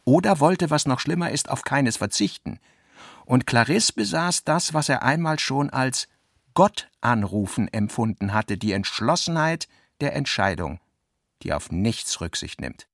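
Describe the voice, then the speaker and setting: Man, creaky gravelly voice, mid pitch, deliberate delivery, literary radio play narration. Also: sing-song, no room sound, clean studio sound.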